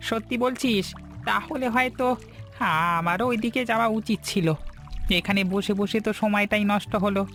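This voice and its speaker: A man's voice: high voice, fairly even intonation, moderate pace, fairly bright tone, soft background music. An actor playing a weary, poor middle-aged fisherman, a worried and devoted husband, in a dramatic cartoon folk tale. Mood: dejected.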